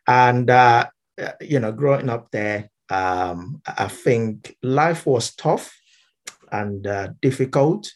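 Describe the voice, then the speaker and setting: A man from Nigeria with a Nigerian accent, speaking reflectively as an interview guest in measured, halting, explanatory phrases. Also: fairly low pitch, slightly rough. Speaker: interview guest, Baptist minister.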